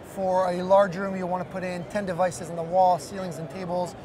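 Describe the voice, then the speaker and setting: A man's voice: high voice, flat delivery, a little fast, a crowd in the background. A man in his thirties explaining a product as an executive being interviewed at a busy trade show booth. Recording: loud busy trade show floor noise.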